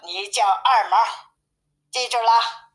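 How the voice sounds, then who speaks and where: A woman's voice: on the high side, slow pace, fairly bright tone. A woman voicing a caring, bossy mother bear in a children's storybook, instructing and calling out commands.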